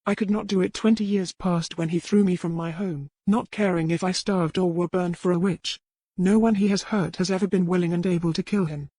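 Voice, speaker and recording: A woman voicing a bitter, grimly resolute old village woman who makes poisons, speaking in archaic, deliberate phrases. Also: on the low side, fairly even intonation, mellow, no echo, close to an everyday voice. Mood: bitter, resigned.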